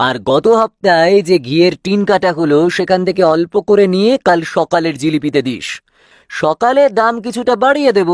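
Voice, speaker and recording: Man acting a high-voiced young sweet-shop owner. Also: expressive, fairly quick, no room sound, clean studio sound. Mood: sly, smug. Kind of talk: cartoon voice acting.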